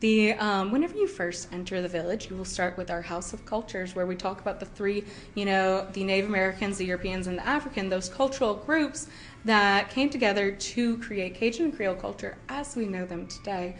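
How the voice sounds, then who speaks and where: Young woman, lively museum guide. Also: mid-range voice, brisk, slight background noise. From Cow Island, Louisiana. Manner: lively, with frequent fillers and laughs. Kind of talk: interview answers. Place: indoors at a heritage village, on location.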